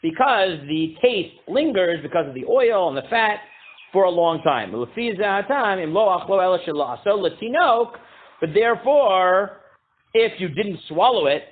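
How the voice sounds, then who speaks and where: A man leads a casual Torah class in a booming voice. He halts and restarts often, reading Hebrew text aloud before translating it. The sound is thin and tinny, like a phone line. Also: a little hiss or hum. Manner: halting, many fillers and restarts. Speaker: rabbi teaching a class.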